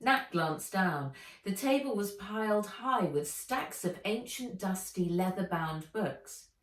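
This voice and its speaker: A woman narrating a children's adventure book aloud in an expressive, dramatic and deliberate way. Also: medium pitch. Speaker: author reading own book aloud. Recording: echoey room, distant microphone.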